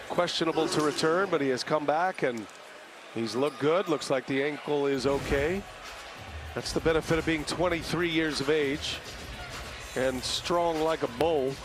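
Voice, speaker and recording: A man's voice: on the high side, a little slow, long pauses. A man calling basketball play-by-play in live sports commentary. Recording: broadcast under loud arena noise. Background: loud basketball arena ambience.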